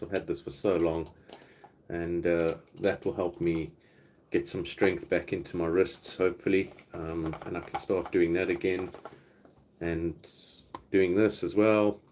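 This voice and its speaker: A South African man with a very low voice hosts a relaxed livestream chat from home. He rambles easily between topics and reads out comments from the viewers. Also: a little hiss or hum. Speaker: livestream host. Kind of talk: casual live Q&A chat. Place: home, indoors.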